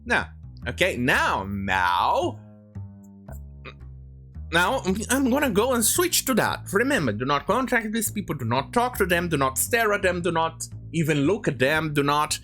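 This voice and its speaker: An online commentator delivering an opinionated, sarcastic rant in a halting, sweary way, sometimes reading aloud. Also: medium pitch, very animated, sharp tone, faint music behind.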